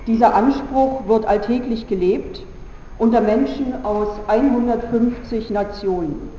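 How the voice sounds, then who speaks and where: A woman from Berlin delivers a prepared speech with earnest, deliberate phrasing and a mellow, dark tone. The sound is dull and lo-fi, with long reverb from a large hall. Faint ambience hangs behind her. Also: a little high, slight background noise. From Berlin, Germany. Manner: measured and earnest, with deliberate pauses. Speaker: politician giving a conference speech.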